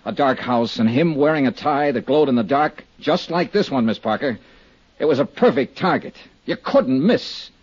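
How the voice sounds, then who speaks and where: An actor in a 1950s radio drama playing a shrewd, hard-boiled insurance investigator, husky, clipped and sardonic. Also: male voice, medium pitch, animated, moderate pace. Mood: cold, accusing. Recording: dull 1950s radio recording.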